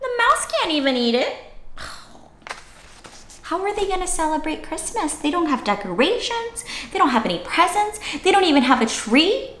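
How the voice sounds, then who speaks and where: High, expressive woman reading a storybook. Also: normal speed, slight background noise. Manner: expressive, dramatic, engaging listeners with questions. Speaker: children's read-aloud host. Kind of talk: interactive storybook reading with asides to children. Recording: roomy, echoey sound.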